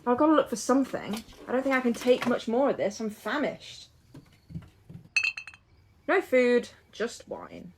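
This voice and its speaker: A woman's voice: on the high side, lively intonation, unhurried, pauses often, noisy background. A woman acting in an audio drama as a restless, hopeful young woman who chats in a musing, playful way. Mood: restless, discontented.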